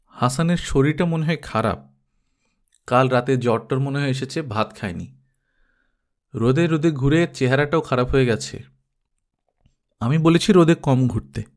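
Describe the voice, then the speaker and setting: A man's voice: medium pitch, moderate pace, pauses often, lightly acted. A man playing a talkative, wistful middle-class elder brother in an audio story, rambling on matter-of-factly. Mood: awkward, rambling.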